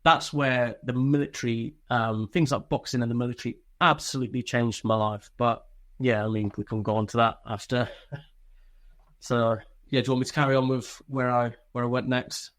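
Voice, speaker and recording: A man's voice: medium pitch, steady tone, moderate pace, fairly bright tone. A man telling personal stories casually as an interview guest, chatty and informal, swearing freely and often chuckling. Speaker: interview guest, former soldier.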